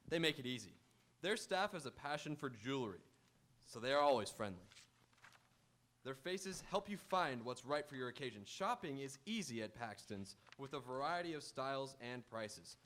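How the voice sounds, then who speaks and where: A man's voice: on the high side, fairly bright tone. A man announcing and narrating a live radio theatre show from a script. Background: music.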